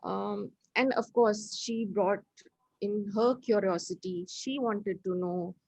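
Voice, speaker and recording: A woman's voice: mid-range voice, steady tone, takes it slowly, gravelly, darkish tone, breathy edge, no room sound. A woman giving informal, hesitant feedback as a workshop participant. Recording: dull, dry call audio.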